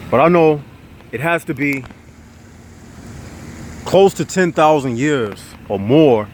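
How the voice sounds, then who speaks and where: A man's voice: fairly high pitch, slow pace, a little hiss or hum. A man hosting his own channel, talking straight to his viewers in a casual, preachy way, slightly nasal from a cold, with a lilt. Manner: preachy, animated, addresses viewers as y'all. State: nasal, getting over congestion. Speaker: channel host.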